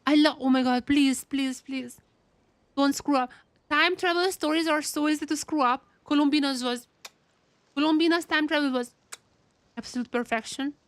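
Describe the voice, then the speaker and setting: A woman giving excitable, casual live reactions as she streams a game, often repeating her words. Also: sits high, moderate pace, dry recording.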